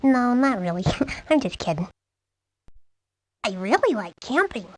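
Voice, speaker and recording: A high, animated young girl for a cartoon video game. She is easygoing, playful and chatty in a childlike way, with a close, dry sound. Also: a little slow, no room sound.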